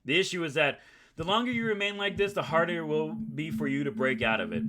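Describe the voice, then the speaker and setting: Guy reading as calm male school teacher, visual novel, patient but pushy, voice a little on the high side. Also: fast talker. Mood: coaxing. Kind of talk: visual novel read aloud.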